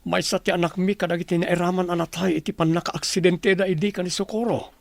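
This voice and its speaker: A man's voice: sits high, level tone, quick pace, gravelly, no room sound. An actor playing an earnest middle-aged father and doctor in a radio drama, with an emotional delivery. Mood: shocked.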